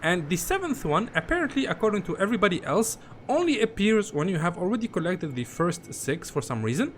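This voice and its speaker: A man's voice: high voice, big pitch swings, a little fast, a little hiss or hum. A man giving a casual, rambling game walkthrough, often repeating himself in a conversational way. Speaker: gaming video host.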